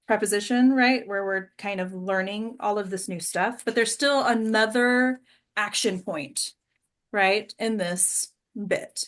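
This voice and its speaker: A woman leads a small class in an informal, conversational way. Her voice sits at an average pitch, and she regularly turns her explanations into short check-in questions. She sounds like a teacher hosting a discussion. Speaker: acting class teacher and host. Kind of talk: casual teaching talk to a small group.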